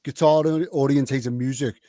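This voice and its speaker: A man's voice: mid-range voice, steady tone, measured pace, warm tone, dry recording, studio quality. A man of forty hosting a podcast, chatting enthusiastically and laughing easily. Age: 40s. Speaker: podcast host. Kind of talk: casual podcast chat.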